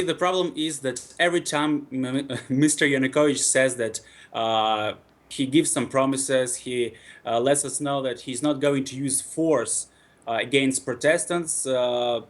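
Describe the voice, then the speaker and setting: Man, mid pitch, earnest, lots of uhs. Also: fairly even intonation, a little slow. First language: Russian. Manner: earnest, hesitant with many fillers. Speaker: caller from Ukraine. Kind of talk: radio phone-in debate.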